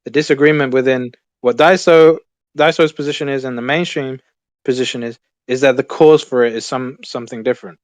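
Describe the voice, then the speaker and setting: A man's voice: medium pitch, steady tone, fairly quick, dry recording. A man in an online debate, dismissive and cutting in over others. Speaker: debater on a call.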